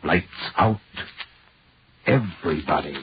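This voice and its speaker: An actor playing an ominous, menacing horror-show narrator in a 1940s radio introduction. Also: male voice, rumbling low, animated, slow, very noisy, exaggerated voice. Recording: muffled vintage 1940s radio recording.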